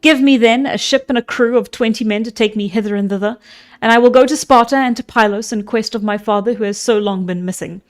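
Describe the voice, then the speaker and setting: Lady reading a serious young prince, pretty plain, her own highish voice. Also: fairly quick, close to an everyday voice. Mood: earnest.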